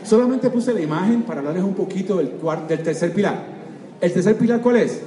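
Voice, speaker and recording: An older man with a Cuban accent giving an energetic motivational seminar talk, constantly quizzing his audience. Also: high voice, a little fast, a little hiss or hum. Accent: Cuban Spanish. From Cuba. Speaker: seminar speaker. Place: large auditorium. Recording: echoey hall, distant mic, faint crowd.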